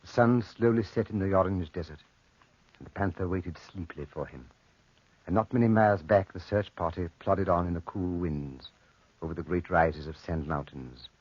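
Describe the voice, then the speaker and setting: An actor as the solemn storyteller of a 1940s radio drama, reading scripted narration. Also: male voice, low-pitched, normal speed, a little mellow, breathy edge, no echo. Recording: dull, muffled 1940s radio recording.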